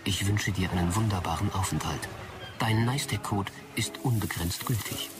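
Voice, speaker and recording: An actor playing a polite, obliging digital assistant in an audio drama, speaking formally. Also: male voice, low voice, moderate pace, distant mic, loud music behind the voice, close to an everyday voice. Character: polite, servile digital assistant called Mia. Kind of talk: German audio drama acting.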